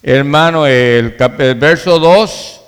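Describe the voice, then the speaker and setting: Dominican pastor preaching in an echoing hall. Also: male voice, fairly high pitch, slow, smooth. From Dominican Republic. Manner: emphatic, conversational, repeats phrases for emphasis. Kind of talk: sermon. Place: large reverberant room, church service. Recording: hall reverb.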